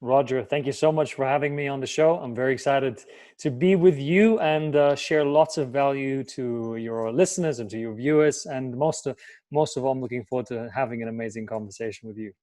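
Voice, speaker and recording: A man's voice: mid-range voice, fairly quick, no room sound, clean studio sound. A young adult man from Germany, whose first language is German, answering interview questions in English with energy and plenty of fillers. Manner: energetic, enthusiastic, many fillers. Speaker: guest, life coach.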